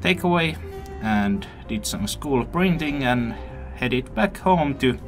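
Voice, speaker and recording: A young man whose first language is Finnish, narrating his day as a student news host, with relaxed pauses and fillers. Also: mid-range voice, music in the background. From Finland. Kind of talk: casual vlog narration and news hosting.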